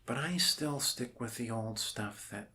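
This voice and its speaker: A man doing soft, improvised ASMR roleplay as a bumbling, friendly doctor, rambling and apologetic in a scatterbrained way. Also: mid-range voice, measured pace, a little hiss or hum. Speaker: ASMR performer playing a doctor.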